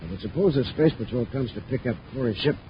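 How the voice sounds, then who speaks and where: A man's voice: medium pitch, fast, lightly acted. An actor playing a cautious, questioning male henchman in a 1950s radio crime-in-space serial. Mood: doubtful. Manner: questioning, uneasy. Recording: muffled, noisy 1950s radio recording.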